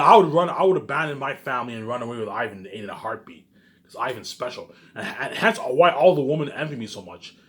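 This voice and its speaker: A man in a casual, rambling monologue, boastful and repetitive, emphatic and swearing freely as he leans on the same catchphrases. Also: mid-range voice. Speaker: online video creator.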